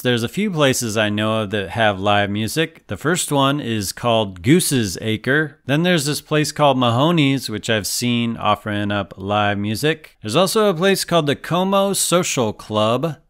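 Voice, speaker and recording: A man, narrating a relaxed, conversational vlog voice-over on a close mic. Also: medium pitch, smooth. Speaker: musician vlogger.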